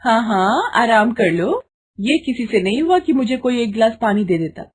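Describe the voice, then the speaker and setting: A woman's voice: on the high side, sing-song, moderate pace, a little hiss or hum, voice pushed up. Woman voicing a haughty, scolding young daughter-in-law. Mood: irritated. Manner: taunting, complaining.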